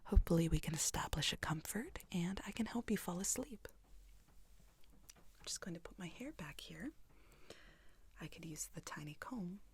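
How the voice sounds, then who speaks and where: A woman speaks in a soft, breathy whisper, soothing and reassuring, in an unhurried ASMR roleplay as a sleep clinic technician. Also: lowish voice, lively intonation.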